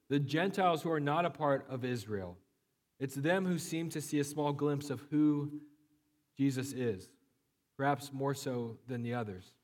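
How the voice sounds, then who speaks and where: A man preaching a sermon while he has a cold. His voice is low and flat, and he talks conversationally with many fillers and restarts. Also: long reverb tail. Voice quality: low, monotone voice. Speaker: pastor.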